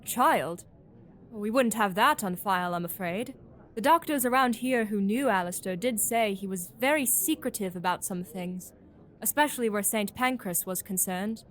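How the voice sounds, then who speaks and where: A woman's voice: medium pitch, moderate pace, fairly bright tone, lightly acted. A woman playing an eager, gossipy young doctor in an audio drama, chatty and proper. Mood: confiding. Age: young adult.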